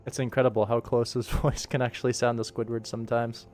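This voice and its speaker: A man with a medium-pitched voice chats casually on a work stream. He muses aloud like a director sorting through takes, with game music playing softly in the background. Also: level tone, fast talker. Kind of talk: casual livestream commentary while working.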